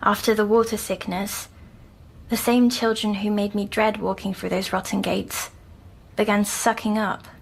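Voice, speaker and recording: A woman playing a timid, dreamy young girl patient who recounts her nightmares in a hushed audio drama. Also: medium pitch, flat delivery, moderate pace, creaky, slightly rough, poor audio. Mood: earnest, subdued.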